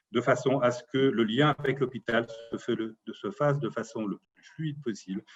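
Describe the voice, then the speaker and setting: A man's voice: mid-range voice, breathy edge, dull audio. A man, a doctor and local official, explaining in interview answers and often punctuating points with a tag word.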